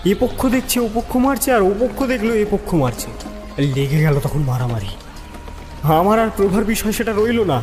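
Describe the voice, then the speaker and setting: A man's voice: high-pitched, darkish tone, natural voice. A man playing a lovelorn young village man, earnestly recounting his troubles in an audio drama. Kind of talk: dramatic first-person storytelling.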